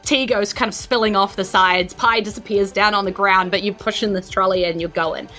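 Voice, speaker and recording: A woman with a New Zealand accent, working as a game master and host, improvising narration and chatting informally in an animated way. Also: medium pitch, brisk. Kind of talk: improvised tabletop game narration and live-stream hosting.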